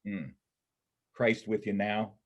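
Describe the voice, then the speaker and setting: A man's voice: on the low side, fairly even intonation, slow. A man acting a guarded, wry soldier who survived a war, in a church reader's theater play.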